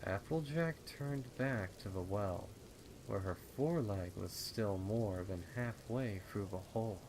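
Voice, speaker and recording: A man with a lowish voice narrates a dark horror tale. His delivery is slow and suspenseful, with deliberate phrasing, over clearly audible rain. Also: background noise. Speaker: fan fiction narrator. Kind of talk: dramatic horror story narration.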